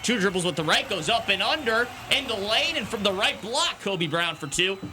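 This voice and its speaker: A young man working as a sportscaster, with a very bright, forceful voice set a little high for a man. He delivers headlines and play-by-play in a broadcast commentary style. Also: animated, normal speed, slight background noise. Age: young adult.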